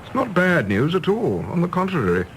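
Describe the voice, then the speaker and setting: An actor playing a guarded, impatient grown son and diplomat in a radio drama, clipped and dryly understated, with an English Received Pronunciation accent. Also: male voice, a little high, wildly swooping pitch, moderate pace, slight background noise, poor audio. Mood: dry, defensive. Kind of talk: British radio drama acting.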